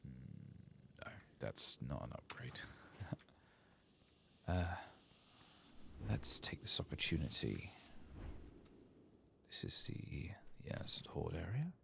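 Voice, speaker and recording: A soft-spoken man gives ASMR game commentary in a low, gravelly voice, often slipping into a whisper. He mutters to himself at a slow, unhurried pace, with some noise behind him. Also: huge pitch swings. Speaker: host of an ASMR game playthrough.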